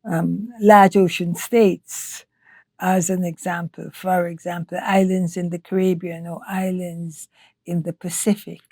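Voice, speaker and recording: An older woman from Trinidad and Tobago, a scientist answering interview questions in a measured way, often restarting her phrases. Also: fairly low pitch, flat delivery, warm tone, dry recording. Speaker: guest, nutrition scientist. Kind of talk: podcast interview.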